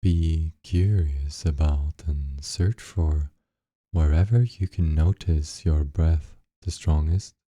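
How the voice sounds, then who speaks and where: A man leading a guided meditation, giving gentle, unhurried instructions with long pauses between short phrases. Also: very deep voice, breathy edge, dry recording.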